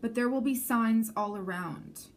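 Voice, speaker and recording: A woman's voice: on the high side, a little slow. Chatty tarot-reading woman. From Canada. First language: Armenian. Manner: chatty, often checks in with the viewer. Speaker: tarot reader. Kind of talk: intuitive tarot reading to camera.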